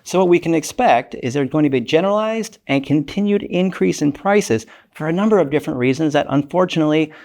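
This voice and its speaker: An older man, an economics professor, giving a measured, formal, lecture-like explanation. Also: a little high, expressive, a little mellow. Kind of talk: prepared explanatory talk.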